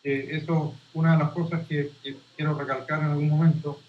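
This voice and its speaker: A man from Chile with a Chilean accent, giving a guest lecture on statistics over a video call in a halting, informal way and often restarting his phrases. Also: a little high, flat delivery. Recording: roomy, dull video-call audio.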